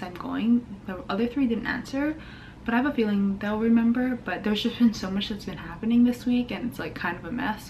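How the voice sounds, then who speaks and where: A woman's voice: medium pitch, darkish tone, a little hiss or hum, rough recording. A woman in her twenties from Hawaii, a dental student chatting casually in her vlog with lots of fillers. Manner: chatty, upbeat, many fillers like "um" and "like". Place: home, indoors.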